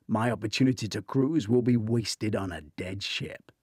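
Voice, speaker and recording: A man's voice: lowish voice, normal speed, darkish tone, breathy edge, no room sound, studio quality, voice pushed up. A man voicing a stubborn, earnest tech colleague in an audiobook, defensive and matter-of-fact.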